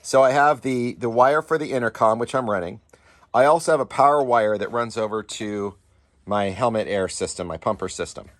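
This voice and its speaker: A man's voice: mid-range voice, moderate pace, a bit bright. Casual man explaining a hands-on install. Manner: informal, explanatory, addressing viewers as guys. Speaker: DIY off-road channel host.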